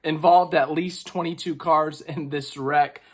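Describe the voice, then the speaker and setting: A man with strep throat giving a casual, chatty race recap, excitable and full of fillers. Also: on the high side, unhurried. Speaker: racing vlogger.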